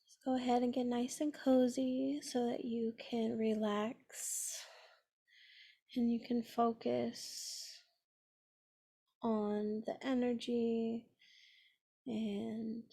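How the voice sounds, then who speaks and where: A woman guides a meditation at an extremely slow pace. Her voice sits a little high and often crackles into fry. She is gentle and soothing, leaving long pauses between phrases. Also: little pitch movement.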